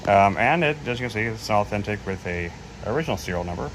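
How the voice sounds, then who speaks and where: A man's voice: fairly low pitch, animated, fast talker. A man giving a casual, unscripted equipment demo in a loose, somewhat hesitant way, readily admitting what he doesn't know. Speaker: presenter demonstrating audio equipment. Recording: clearly noisy home recording.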